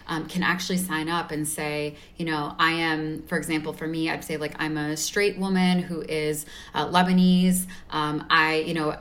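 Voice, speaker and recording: A woman speaking as an interview guest, explaining her organization's work in an articulate, enthusiastic way. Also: low-pitched. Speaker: guest representing an advocacy organization.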